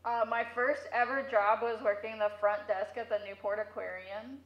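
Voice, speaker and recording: A woman with a mid-pitched voice chats casually as an interview guest. She speaks through a megaphone, so the sound is dull, with strong room echo. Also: fairly even intonation, moderate pace. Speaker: museum curator, interview guest.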